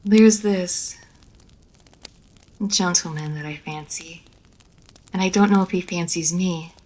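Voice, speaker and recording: A woman playing an immortal vampire lady of a manor in an audio roleplay, formal and measured, poised and teasing, speaking straight to the listener. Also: lowish voice, a little mellow, low-quality recording. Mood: shy, confiding. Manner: formal, measured, old-fashioned genteel phrasing. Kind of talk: scripted roleplay audio story, addressed to the listener.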